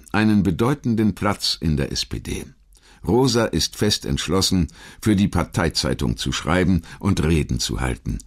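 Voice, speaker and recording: A man reading scripted biographical narration as a documentary narrator, in a measured, articulate manner. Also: low-pitched.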